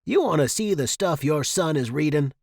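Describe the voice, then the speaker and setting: A man's voice: medium pitch, very animated, a little slow, no room sound. A crude, bullying working-class father, acted out by a male narrator for an audiobook. He is coarse and blustering, with a short temper and slangy speech. The sound is close and dry.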